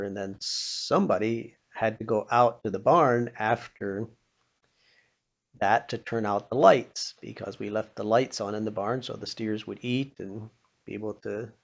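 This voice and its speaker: An older American man telling informal inspirational stories in a folksy, plain-spoken manner, pausing now and then. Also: fairly low pitch, gravelly, dull audio. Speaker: storyteller sharing childhood memories with a religious lesson.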